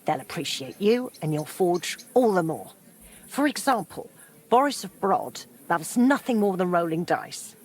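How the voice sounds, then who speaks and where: Medieval game townswoman: husky, slightly low, obliging, lightly teasing. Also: lively intonation, unhurried, a little mellow. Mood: friendly, obliging. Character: warm, helpful widowed medieval townswoman, amiable and teasing. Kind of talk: video game character dialogue.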